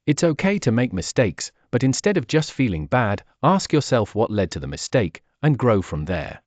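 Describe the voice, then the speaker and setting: A man's voice: medium pitch, big pitch swings, normal speed, dry recording. A man narrating a scripted children's story as a read-aloud.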